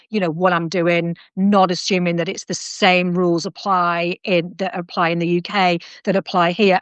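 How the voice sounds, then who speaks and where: A middle-aged woman, hosting a podcast interview in a chatty, animated way, often restarting her sentences. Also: mid-range voice, no room sound. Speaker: podcast host. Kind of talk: casual conversational interview.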